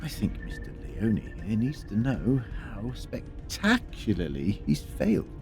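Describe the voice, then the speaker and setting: An actor playing a cunning, defiant time-travelling adventurer in a science fiction audio drama, wry and deliberate. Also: male voice, fairly low pitch, very animated, a little mellow, soft background music. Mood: taunting.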